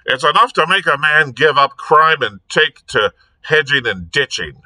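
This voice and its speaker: Man playing gruff harassed police inspector, sharp voice. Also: medium pitch, lively intonation, a little slow, exaggerated voice. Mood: harassed, irritated. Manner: snorting, morose, grumbling.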